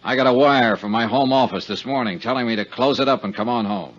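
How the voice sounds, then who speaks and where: An American actor playing a stubborn, sardonic investigator in a 1950s radio detective drama, with a wry, clipped, hard-boiled delivery. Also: male voice, mid-range voice, quick pace, close to an everyday voice. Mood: irritated. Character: stubborn, sardonic male insurance investigator narrating his case. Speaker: lead actor and narrator. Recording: dull 1950s radio recording with music.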